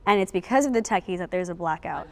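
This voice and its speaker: A woman's voice: on the low side, animated, fast talker, darkish tone. A teenage young woman, a student actor, explaining things casually in an interview. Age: teens.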